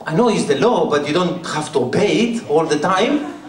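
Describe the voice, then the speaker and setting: A man delivering an indignant stand-up routine in a drawn-out way. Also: fairly high pitch, lively intonation, a loud crowd. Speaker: stand-up comedian. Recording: echoey venue, far from mic.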